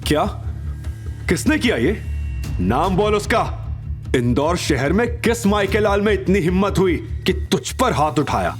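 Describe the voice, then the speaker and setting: A man's voice: high-pitched, very animated, measured pace, faint music behind, voice pushed up. Man acting out a stern, barking uncle. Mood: scolding, angry. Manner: barking, interrogating, threatening. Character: stern, gruff, scolding uncle and office boss.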